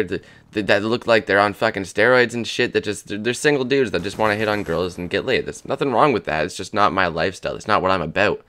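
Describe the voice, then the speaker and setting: A hoarse young man in his twenties rambling crudely and jokily as the host of a gaming channel. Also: fairly low pitch, a bit bright. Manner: rambling, crude, jokey, lots of "dude" and "man". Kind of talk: casual rambling commentary over gameplay.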